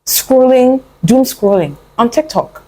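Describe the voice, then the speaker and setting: A woman's voice: high-pitched, expressive, slow pace, mellow, poor audio. Young adult woman, motivational vlogger; coaxing, sisterly, loose and rambling delivery. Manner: earnest, coaxing, calls the listener "my love" and "honey"; rambling with frequent fillers and restarts. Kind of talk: casual motivational talk to camera.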